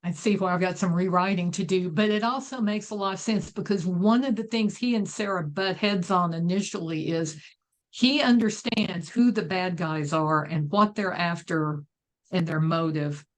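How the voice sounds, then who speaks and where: A woman's voice: on the low side, moderate pace, rough recording. A woman speaking casually as a guest author, discussing her own writing.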